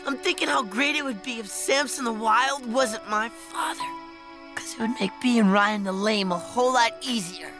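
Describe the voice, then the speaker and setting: A sulky young lion cub from an animated film, acted out by a performer, with a youthful mid-to-high voice. The cub talks in a sarcastic, self-deprecating way over clear music. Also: normal speed, natural voice. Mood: resentful.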